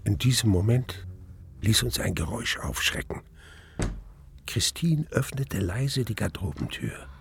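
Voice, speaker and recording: Brooding, slightly gravelly male narrator. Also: lowish voice, lively intonation, background noise. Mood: tense, hushed. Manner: measured, suspenseful storytelling. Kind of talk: first-person radio play narration.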